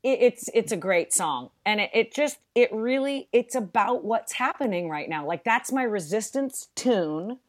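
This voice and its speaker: Woman, podcast guest, big loud voice, emphatic, salty and funny. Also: medium pitch, animated, unhurried, few pauses. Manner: emphatic, profane and joking. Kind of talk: casual chat.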